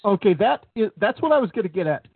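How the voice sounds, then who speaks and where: A man co-hosting a talk radio show, giving his opinions in a measured way. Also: sits high, big pitch swings, slightly rough, no echo. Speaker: radio co-host. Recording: phone-like narrow sound, dry.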